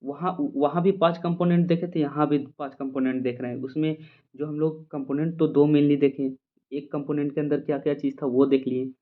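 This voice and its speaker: A man's voice: a little high, level tone. A man with an Indian accent giving an informal physiotherapy lecture point by point, repeating himself and often checking that listeners understand. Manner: repetitive, checks understanding often, reads English definitions slowly. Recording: muffled phone-like audio.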